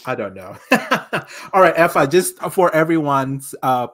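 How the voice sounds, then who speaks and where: A man hosting a casual podcast, rambling warmly with many fillers, restarts and laughs. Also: on the high side, expressive, a little slow. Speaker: podcast host.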